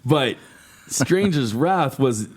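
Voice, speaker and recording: Guy hosting a podcast, slangy and excited, chatting like a big fan, laughs a lot. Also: medium pitch, drawn-out words. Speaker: podcast host. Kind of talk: casual interview chat.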